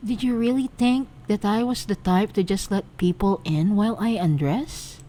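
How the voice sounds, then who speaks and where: A woman doing a soft-spoken teasing heiress. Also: mid-range voice, normal speed, close to an everyday voice. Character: poised, teasing rich young woman.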